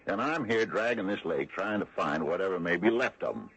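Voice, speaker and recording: An actor playing a gruff, stubborn, skeptical small-town American sheriff in an old radio drama, folksy and grumbling. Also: male voice, sits low, lively intonation, brisk, a bit bright, full character voice. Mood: scornful. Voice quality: gravelly, husky. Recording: dull vintage radio broadcast recording.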